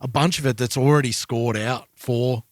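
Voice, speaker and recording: Measured man chatting about music. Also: mid-range voice, fairly even intonation, dry recording, clean studio sound. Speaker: podcast co-host. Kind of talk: casual music discussion.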